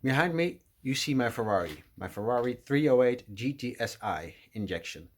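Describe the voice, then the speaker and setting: A middle-aged Dutch man with a Dutch accent, speaking English with many pauses and fillers as he shows his car project in a garage. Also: medium pitch, lively intonation. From Netherlands. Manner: hesitant, many uhs, apologetic. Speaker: car restoration vlogger. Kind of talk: casual walkaround vlog.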